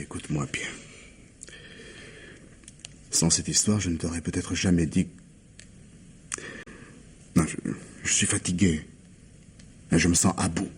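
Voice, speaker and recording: An actor playing a weary, evasive man of forty, an anxious and loving husband who speaks haltingly and pleadingly in an old French radio mystery. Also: deep voice, a little mellow, a little hiss or hum, close to an everyday voice. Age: 40s.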